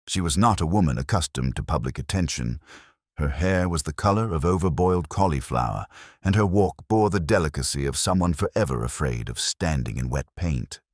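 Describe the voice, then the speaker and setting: A very low-voiced man tells a mystery story with dry, literary wit. His delivery is measured yet swings widely in pitch, with a husky edge and a close, dry sound. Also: animated, no room sound. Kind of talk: scripted dramatic story narration.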